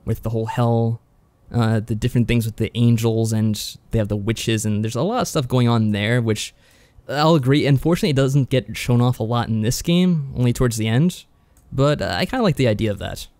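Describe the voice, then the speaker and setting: A man co-hosting a podcast in a casual review chat, speaking in an agreeable, measured way. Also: mid-range voice, a little mellow, dry recording. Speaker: podcast co-host.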